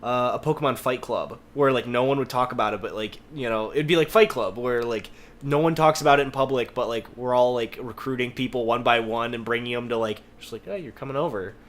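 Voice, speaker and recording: A man's voice: mid-range voice, a little fast. A man co-hosting a podcast, telling rambling anecdotes in casual chat and swearing freely. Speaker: podcast host. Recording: noticeable background noise.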